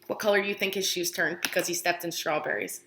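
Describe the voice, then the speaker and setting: A woman teacher doing an expressive picture book read-aloud for young children, asking questions with encouraging, exaggerated delivery and pausing for answers. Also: on the low side, reverberant, slight background noise.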